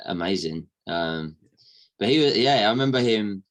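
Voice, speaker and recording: A man guesting on a podcast chats easily, relaxed and enthusiastic. His voice sits low and deep. He talks in loose, friendly phrases. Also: normal speed. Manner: relaxed and chatty, with easy enthusiasm. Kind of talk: casual chat.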